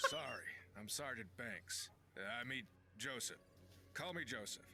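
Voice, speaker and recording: A man's voice: mid-range voice, wildly swooping pitch, a little slow, sharp tone, heavily acted. A man playing an indignant, flustered off-duty army sergeant in a video game, sweary and informal. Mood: sheepish. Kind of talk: video game character dialogue.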